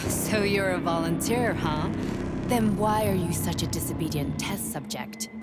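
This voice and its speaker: A woman's voice: lowish voice, unhurried, natural voice. A woman playing a cynical, knowing doctor in a video game, speaking in a dry, pointed, mocking way. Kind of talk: video game dialogue acting. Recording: loud music behind the dialogue.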